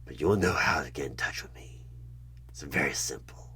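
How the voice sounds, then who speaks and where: A man playing a sinister, coaxing evil recruiter who bargains for souls in a creepy ASMR horror roleplay, husky and half-whispered, with deliberate dramatic pauses. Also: rumbling low, pushed and bright. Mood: persuasive, menacing. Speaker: audio roleplay performer.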